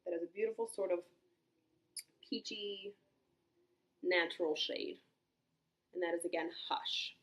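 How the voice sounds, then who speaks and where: Congested young woman, fairly low voice, casual makeup review, thinks out loud, lots of ums. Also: fairly even intonation, a little slow, long pauses, low-quality recording. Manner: chatty, thinks aloud, many ums and small exclamations. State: congested from a cold. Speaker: beauty vlogger.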